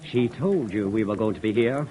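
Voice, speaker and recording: A man's voice: lowish voice, moderate pace, warm tone, natural voice. An actor playing Tim, a stubborn, jealous young man with a lilt, in 1940s-style radio drama acting. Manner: blunt, sulky, indignant.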